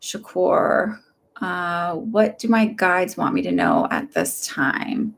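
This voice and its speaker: A middle-aged woman from Texas with a slightly low voice, talking in a chatty, rambling way. Her delivery is upbeat and gently reassuring as she gives casual live readings to viewers. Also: huge pitch swings, slow pace, creaky. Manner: gentle and reassuring when giving advice. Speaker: psychic medium giving live readings.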